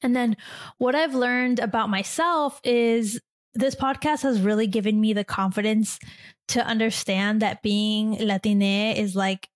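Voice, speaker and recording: Brief: young woman, podcast host, rambling and chatty, slightly high voice. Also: steady tone, measured pace. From born in Chile, raised in the US. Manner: chatty, rambling, many "like"s and restarts. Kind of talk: casual solo podcast answering a listener.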